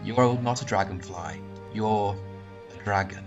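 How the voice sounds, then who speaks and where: A man's voice: lowish voice, dull audio, music in the background, lightly acted. Grave dragonfly father, voiced by a man. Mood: solemn. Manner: grave, measured speech.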